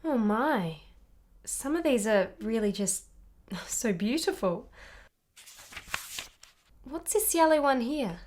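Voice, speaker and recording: A woman acting in a radio play as a genteel, curious married gentlewoman of the nineteenth century, polite and inquisitive. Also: fairly high pitch, animated, takes it slowly, long pauses. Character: gracious, curious married gentlewoman in 1870s Italy.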